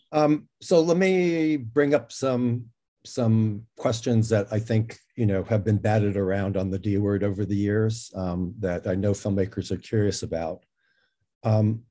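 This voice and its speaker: A man's voice: mid-range voice, dark tone. A man hosting an interview, asking questions in a casual, tentative and rambling way. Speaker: interviewer, session host.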